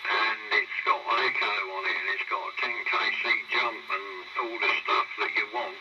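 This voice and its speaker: A man's voice: fairly low pitch, fairly even intonation, normal speed, heavy background noise. A British man chatting casually over CB radio in a rambling, matey, mildly sweary way, through a staticky transmission. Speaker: CB radio hobbyist.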